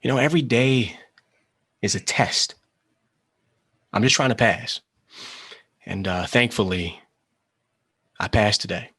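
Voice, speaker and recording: An actor playing an earnest, weary recovering gambling addict in a table read, speaking in a halting, confessional way. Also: male voice, fairly low pitch, a bit bright, husky, exaggerated voice. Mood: sober, grateful. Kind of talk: screenplay table read acting.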